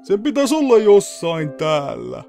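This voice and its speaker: A man's voice: high voice, wildly swooping pitch, warm tone, faint music behind, exaggerated voice. A man playing a cocky, shameless teenage boy swordsman in an anime parody dub, casual and smug. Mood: eager.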